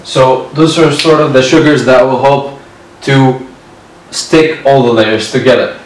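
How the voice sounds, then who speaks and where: A man in his twenties giving a whiteboard lecture on histology, speaking slowly and repeating key terms. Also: medium pitch, steady tone. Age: 20s. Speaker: medical lecturer. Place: indoor room at a whiteboard. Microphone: far camera. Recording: very echoey room, distant mic.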